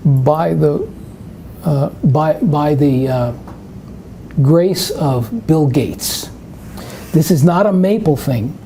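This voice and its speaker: Older New York man, mellow and a bit faint hiss behind; math presenter given to rambling anecdotes. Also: fairly high pitch. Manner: rambling, anecdotal, self-interrupting. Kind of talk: live webinar Q&A.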